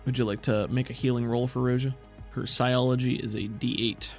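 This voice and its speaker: A man runs a tabletop roleplaying game, describing each scene in a conversational, improvised way. His voice is mid-pitched, with faint music behind it. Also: level tone, fairly quick, darkish tone. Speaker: game master.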